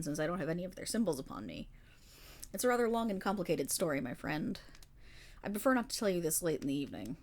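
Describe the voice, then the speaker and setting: A woman playing a kindly, weary and remorseful paladin in a fantasy roleplay audio, speaking formally in measured, gentle storytelling with dramatic pauses. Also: on the low side. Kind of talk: fantasy roleplay audio monologue addressed to the listener.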